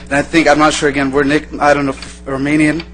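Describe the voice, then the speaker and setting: Guy doing public comment at a council meeting, talks fast, earnest, kinda rambly and repetitive. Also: fairly high pitch, completely flat delivery, a bit bright, a little hiss or hum. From born in Iran, raised in the United States. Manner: earnest, repetitive, loosely improvised. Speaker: resident giving public comment. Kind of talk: informal public speech.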